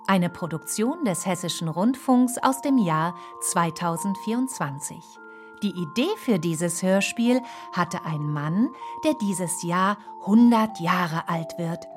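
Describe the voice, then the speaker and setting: An actress playing a children's radio announcer, presenting a scripted introduction in simple, deliberate phrases. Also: female voice, mid-range voice. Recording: music behind the voice.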